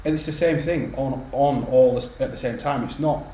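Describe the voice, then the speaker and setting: A man from northern England talks casually and bluntly, swearing and repeating himself as he builds his point. His voice sits at a middle pitch and rings in a roomy space with faint hiss behind it. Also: a little fast, warm tone. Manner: blunt, swearing, stammering restarts. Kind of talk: casual discussion. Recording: roomy echo with faint noise.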